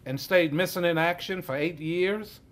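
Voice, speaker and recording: An actor playing a stern, sardonic family court judge in a filmed courtroom drama, with noisy room sound. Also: male voice, high-pitched, normal speed, close to an everyday voice.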